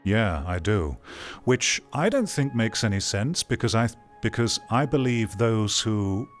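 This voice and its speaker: A man's voice: fairly low pitch, very animated, a little slow, faint music behind. A middle-aged man giving casual live commentary as a streamer, rambling in a sardonic, exasperated manner. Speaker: streamer reacting to a video.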